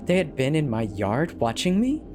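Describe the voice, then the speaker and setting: A narrator giving an expressive, dramatic first-person reading of a horror story. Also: medium pitch, moderate pace, noisy background. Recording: background music behind the narration. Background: music.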